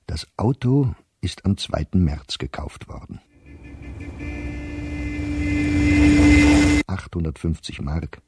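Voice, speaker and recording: An anxious middle-aged man reading his diary in a radio play. The voice is deep and mellow with a husky grain, recorded very close and dry. Sentences come short, sober and precise. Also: no room sound, exaggerated voice. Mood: sober, resigned.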